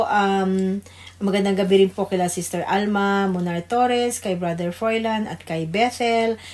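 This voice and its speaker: A woman's voice: mid-range voice, darkish tone. A woman with a Filipino accent co-hosting a devotional radio program in a reverent, conversational way. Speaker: radio devotional co-host, pastor's wife. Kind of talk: informal religious radio greetings and prayer.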